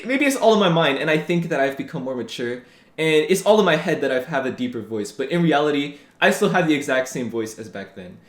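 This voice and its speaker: Young male YouTuber, 19, lively high-ish voice, rambling casual chat, echoey room. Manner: rambling, candid, lots of fillers and restarts. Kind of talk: casual vlog commentary. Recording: roomy echo.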